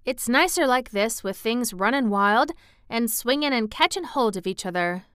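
A woman's voice: fairly high pitch, expressive, moderate pace, a bit bright, clean tone, no echo, clean studio sound, voice pushed up. A woman voicing a cheerful, hardy twelve-year-old country boy who loves nature, speaking hearty, earnest Yorkshire dialect in a storybook reading. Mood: thoughtful.

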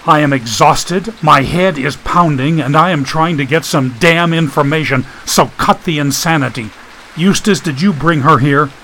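A man's voice: on the high side, normal speed, bright, forceful tone, a little hiss or hum, voice pushed up, rough recording. A man voicing a weary, irritable man in an audiobook, questioning curtly and impatiently. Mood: exasperated. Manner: curt, tense questioning.

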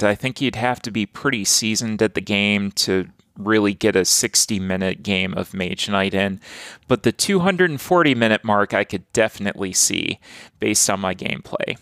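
A man's voice: on the low side, normal speed, fairly bright tone, no echo, clean studio sound. A man talking through a board game review in a plain, earnest, matter-of-fact way. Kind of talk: casual solo review.

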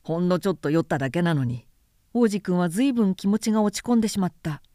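A woman's voice: on the low side, fast, dark tone, no room sound, clean studio sound. A woman narrator giving a literary storybook reading.

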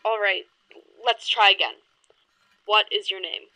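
An actress playing an earnest, cautious woman investigator who asks brisk, direct questions in a horror audio drama. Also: medium pitch, fairly even intonation, sharp tone, rough recording. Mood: probing.

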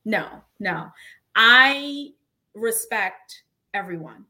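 A woman's voice: on the high side, lively intonation, drawn-out words, sharp tone. A woman attorney hosting a casual conversation, speaking emphatically and repeating words to drive her point home. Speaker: host and attorney. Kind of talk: casual podcast-style conversation.

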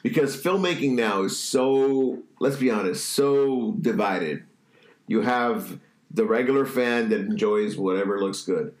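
A man's voice: on the low side, a little slow. Congested man talking in an echoey room. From New England. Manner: loose, profane, opinionated riffing. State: congested, stuffy nose. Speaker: podcast host. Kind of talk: casual chat.

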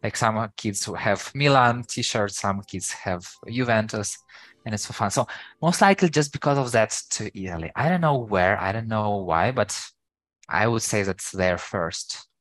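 A man with a Ukrainian accent, a guest on an interview podcast, talking in a lively, hesitant way full of fillers. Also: lowish voice, normal speed, no room sound, poor audio. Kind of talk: casual interview chat.

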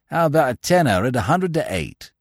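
A man reading an audiobook and voicing a sly, scheming young male gambler who talks in a low, smooth, insinuating way. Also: big pitch swings, brisk, dry recording, studio quality.

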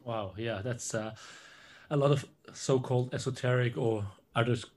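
A man hosting a conversation puts his questions hesitantly, repeating words as he searches for them. His voice sits at an ordinary male pitch. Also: moderate pace, darkish tone. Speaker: podcast host. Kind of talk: interviewing, casual chat.

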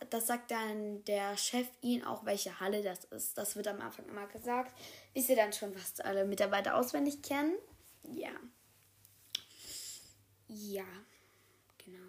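A chatty office worker, played by a woman, leads a newcomer around in a slightly high voice. She rambles, stumbles over her words and corrects herself mid-sentence in an improvised role-play. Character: chatty female office worker giving a new secretary a tour.

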